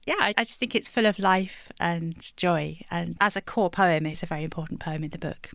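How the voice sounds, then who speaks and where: Brief: poet guest, woman from England, lowish voice, dry close sound, reflective and warm. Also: normal speed, vocal fry, no echo. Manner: warm, thoughtful, laughs lightly between thoughts. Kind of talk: reflective interview answers.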